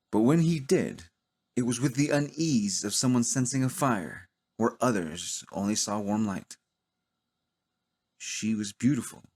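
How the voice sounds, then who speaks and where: A man narrating a cinematic audio drama in a solemn, dramatic manner with deliberate pauses. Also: lowish voice, darkish tone, slightly rough. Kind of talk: slow cinematic scripted narration.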